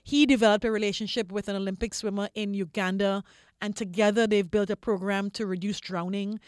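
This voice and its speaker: Female interview guest from Trinidad, mid-pitched, articulate and emphatic executive explainer, recorded dry. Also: fairly even intonation, brisk, dry recording.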